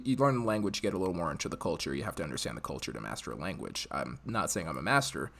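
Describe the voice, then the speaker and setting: A man's voice: low-pitched, gravelly, fairly bright tone. An American man hosting a live podcast, chatting casually and giving his opinions in a conversational way. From United States. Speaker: podcast host.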